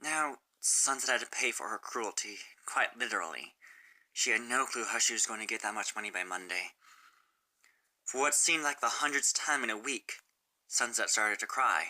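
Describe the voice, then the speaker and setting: A woman's voice: rumbling low, normal speed, sharp tone, low-quality recording. A woman reading fan fiction aloud as a narrator, in a dramatic storytelling style.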